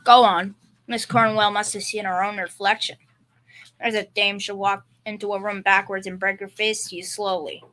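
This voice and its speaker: A woman's voice: medium pitch, little pitch movement, moderate pace, fairly bright tone, close to an everyday voice, rough recording. A young female performer playing a bumbling, confidently clueless working-class dad in a school old-time radio comedy, with folksy bad grammar. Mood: scoffing, insistent. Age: young teen.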